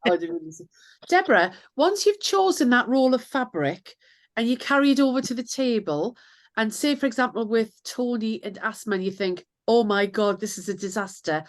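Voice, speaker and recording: A woman's voice: on the high side, expressive, moderate pace. A woman hosting a casual chat, asking guests questions. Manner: curious, asks questions. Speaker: host.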